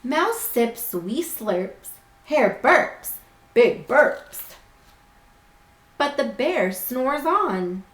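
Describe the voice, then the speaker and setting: Brief: female children's storyteller, high and animated, acting out the words, in a roomy, echoing space. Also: extremely slow, pauses often. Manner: expressive, acts out words and characters. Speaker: children's club staffer reading aloud. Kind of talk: picture book read-aloud for children. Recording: roomy, echoing indoor sound.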